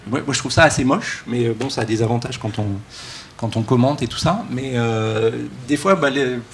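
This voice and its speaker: A man speaks informally as a conference keynote speaker, joking and halting with many false starts. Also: medium pitch. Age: early 40s. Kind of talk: casual, joking conference talk. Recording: live talk with background room noise.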